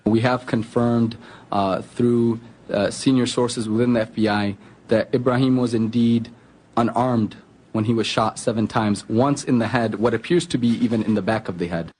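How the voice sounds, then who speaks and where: A man's voice: medium pitch, steady tone, normal speed, a little mellow, breathy edge. A man speaking as a spokesman, giving a press statement. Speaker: advocacy group spokesman.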